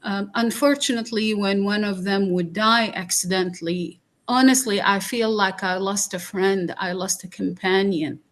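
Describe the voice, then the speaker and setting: A woman from Iraq, a guest on a talk podcast, speaking slowly and earnestly with many pauses and fillers. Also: mid-range voice, fairly even intonation.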